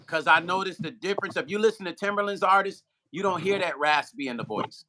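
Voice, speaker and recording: A man hosting a casual livestream, chatting with a guest and reading viewer comments aloud in an animated way. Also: sits high, fast, a little hiss or hum, poor audio. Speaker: livestream host.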